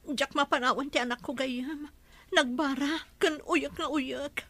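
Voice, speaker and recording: A woman's voice: high-pitched, steady tone, a little slow, fairly bright tone, no room sound. An actress playing a humble, worried mother devoted to her child in a radio drama, with an Ilocano Filipino accent. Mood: anxious.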